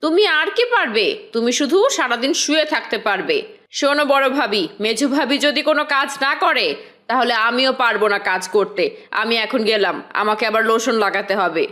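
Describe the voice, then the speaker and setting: A woman's voice: on the high side, a little fast, bright, forceful tone. A woman voicing a vain, pouty youngest daughter-in-law who shirks work, in a family cartoon. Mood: petulant. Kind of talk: Bengali family cartoon voice acting.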